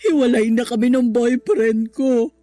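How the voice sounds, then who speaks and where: A woman's voice: on the high side, unhurried, warm tone, dry recording, lightly acted. A woman with a Filipino accent acting a hurt, defensive middle-aged mother in a radio drama, wounded and plaintive. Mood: sad, tearful.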